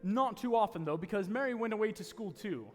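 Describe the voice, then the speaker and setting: An actor playing a calm, kindly narrator in an old-time radio play. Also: male voice, high-pitched, fairly quick. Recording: echoey hall with music behind.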